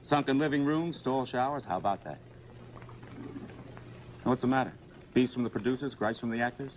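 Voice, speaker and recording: Busy, reassuring boyfriend played by a man, mid-range voice, brisk teasing delivery, vintage suspense drama, slight noise. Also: medium pitch, natural voice. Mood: breezy, teasing. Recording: faintly noisy vintage broadcast.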